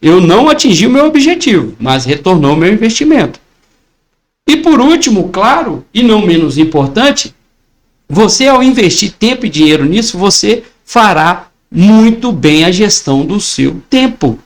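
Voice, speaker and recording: A man's voice: sits high, sing-song, normal speed. A Brazilian man in his forties with a Brazilian Portuguese accent, teaching an online exam-prep lesson in a persuasive way, asking and answering his own questions.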